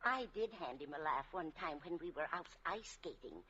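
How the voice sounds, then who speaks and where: A woman's voice: low voice, expressive, moderate pace, sharp tone, slight background noise. An American actress playing a kindly, scatterbrained elderly landlady, motherly and fussy, in an old-time radio comedy. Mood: guileless. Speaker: radio sitcom supporting actress. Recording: muffled old radio recording.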